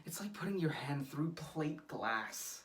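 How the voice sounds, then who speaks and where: An actor playing a young lover confessing aching love in a halting, earnest, intimate monologue. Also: mid-range voice, lively intonation, fairly bright tone. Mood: bitter, pained. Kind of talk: naturalistic monologue acting. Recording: distant microphone.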